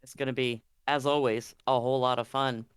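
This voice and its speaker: A man's voice: mid-range voice, level tone, fairly quick, a bit bright, clean tone, dry recording. A man acting as game master, hosting and narrating a tabletop roleplaying game stream.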